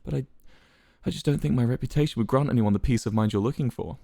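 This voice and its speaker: A man's voice: medium pitch, fast talker, gravelly, mellow, dry recording, clean studio sound. A man voicing a proud, unstable disgraced man in a story, with a stammering, agitated delivery. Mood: flustered, humbled.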